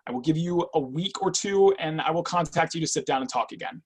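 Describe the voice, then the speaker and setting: An actor playing a guarded, clinical agent with a cool, probing, businesslike manner in an online screenplay reading. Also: male voice, a little high, fairly quick, breathy edge. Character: guarded, clinical intake agent, weary but obedient man. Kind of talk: online screenplay table read.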